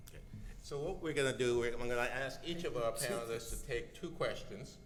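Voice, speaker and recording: Male moderator, average pitch, informal delivery, slight room noise. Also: a little fast, fairly bright tone, big-room sound. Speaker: panel moderator.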